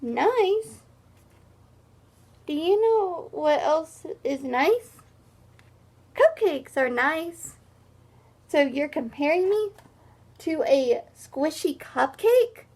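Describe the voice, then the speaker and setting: A woman's voice: high voice, animated, drawn-out words, long pauses, darkish tone, pitched above the performer's own voice, exaggerated voice. A woman voicing an easily wounded female storybook hippo, wailing and indignant in a dramatic way.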